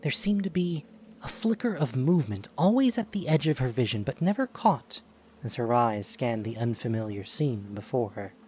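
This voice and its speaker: Mid-pitched male audio drama narrator. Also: sing-song, normal speed, darkish tone, husky.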